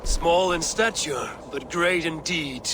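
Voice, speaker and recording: A sly disguised god. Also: male voice, fairly high pitch, a loud crowd. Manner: sly, measured, persuasive. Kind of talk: video game cutscene acting. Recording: game audio with ambience.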